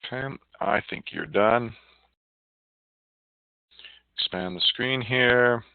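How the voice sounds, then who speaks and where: A man giving a casual live software demonstration, chatty and informal, muttering to himself as he works. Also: medium pitch, slow, gravelly, smooth, no room sound. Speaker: software demo presenter. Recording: narrow, phone-like line, dry.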